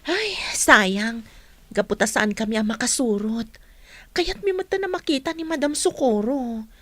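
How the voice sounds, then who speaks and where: A woman's voice: on the high side, lively intonation, a little slow, fairly bright tone, dry recording. A woman acting a polite, regretful teacher in a radio drama, apologetic in manner.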